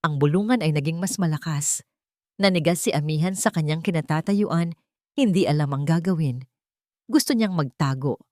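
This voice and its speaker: A woman narrating a scripted romance story in a deliberate, emotive, dramatic way. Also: sits low, a little mellow, no echo, studio quality.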